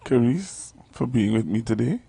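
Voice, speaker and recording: A man with a Trinidadian accent hosting a casual live radio interview, teasing and animated in dialect. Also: medium pitch, moderate pace, vocal fry, slightly rough, no echo. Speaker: radio show host.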